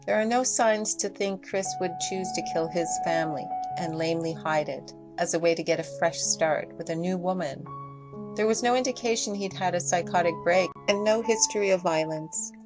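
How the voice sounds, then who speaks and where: A woman's voice: lowish voice, moderate pace, over music. A woman reading a scripted, critical commentary as a voice-over. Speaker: video commentator. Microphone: voice-over recorded in separate snippets.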